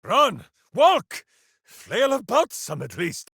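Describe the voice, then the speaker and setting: An actor playing a boisterous, simple-hearted heroic warrior in a video game, bombastic and speaking of himself in the third person. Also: male voice, very high voice, huge pitch swings, slow pace, creaky, fairly bright tone, slightly rough, no room sound, studio quality, exaggerated voice. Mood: rousing, battle-ready. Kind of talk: video game character voice lines.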